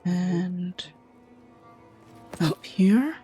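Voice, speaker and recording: A woman with a hoarse, low voice, muttering and chuckling to herself as she comments on a video game. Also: drawn-out words, mellow, faint music behind. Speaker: streamer. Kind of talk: casual gameplay commentary.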